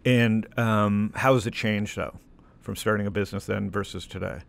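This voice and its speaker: A man's voice: lowish voice, steady tone, moderate pace, creaky. A man hosting a podcast, talking candidly in a rambling, self-deprecating way. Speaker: podcast host and investor. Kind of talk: casual interview chat.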